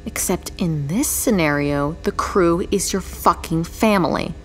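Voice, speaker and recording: A woman's voice: on the low side, unhurried, slight background noise, natural voice. A woman playing a blunt, scornful homicide detective in an audio drama, stern, sarcastic and foul-mouthed. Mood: angry, scornful.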